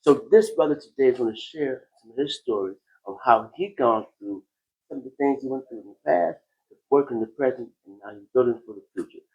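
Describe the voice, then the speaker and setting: A man hosting a podcast in a casual conversational style, animated, often repeating phrases and breaking easily into laughter. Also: medium pitch, brisk, muffled recording. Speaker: podcast host.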